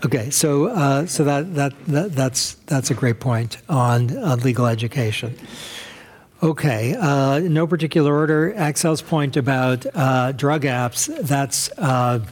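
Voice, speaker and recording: A man's voice: medium pitch, measured pace. A man from the United States answering audience questions at a lecture, thoughtful and hesitant, with many fillers and restarts and frequent rhetorical questions. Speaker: academic speaker responding to questions.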